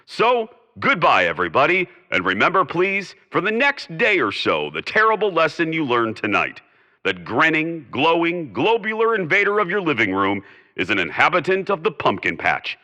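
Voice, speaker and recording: A man's voice: on the high side, huge pitch swings, normal speed, bright, forceful tone, big-room sound. A man hosting a radio show, delivering a scripted sign-off in old-time radio drama style, theatrical and mock-solemn.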